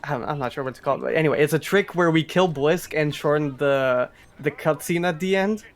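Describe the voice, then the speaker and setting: A man's voice: fairly high pitch, fairly quick. A man giving casual live commentary on a video game speedrun, explaining as he goes.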